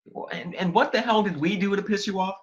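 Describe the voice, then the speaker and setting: A man playing a bewildered, exasperated young research assistant in an audio drama, incredulous and halting, on a lo-fi recording. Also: high-pitched, close to an everyday voice. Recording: dull lo-fi sound.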